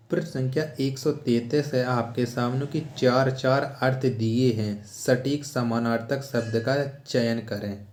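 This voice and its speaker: Mellow-voiced male teacher, echoey room. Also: medium pitch. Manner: repetitive, poses questions then repeats answers for emphasis. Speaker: exam-prep Hindi grammar teacher. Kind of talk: instructional question-and-answer walkthrough. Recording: roomy, echoing sound.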